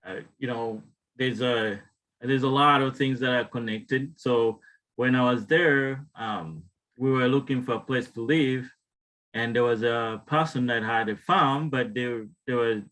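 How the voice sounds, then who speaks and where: A man in his thirties with a Ugandan accent speaks informally about himself as a guest panelist, often halting and restarting his sentences. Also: medium pitch, fairly bright tone, rough recording. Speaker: wellness tonic maker, guest panelist. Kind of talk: informal personal storytelling on a panel.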